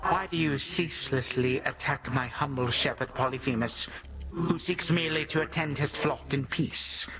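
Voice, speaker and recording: A man's voice: mid-range voice, normal speed, natural voice. Haughty old titan tyrant played by a man, sardonic and pompous, theatrical game villain, prominent music underneath. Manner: pompous, sardonic, formal archaic diction. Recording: studio voice over game music.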